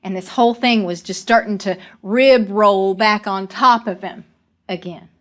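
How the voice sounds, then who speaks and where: A woman acts out an earnest young coal miner in a dramatic storytelling monologue. The speech is folksy and rural, plainspoken, halting and doubling back on words, at a middling pitch for a female voice. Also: fairly bright tone, lightly acted. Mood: grave, hushed.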